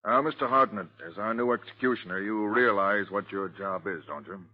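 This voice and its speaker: Prison warden, male actor, earnest, deliberate authority, lower register, 1940s radio drama, slightly dull archive sound. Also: close to an everyday voice. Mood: solemn. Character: dutiful, earnest male prison warden, jumpy but firm. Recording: muffled old radio recording.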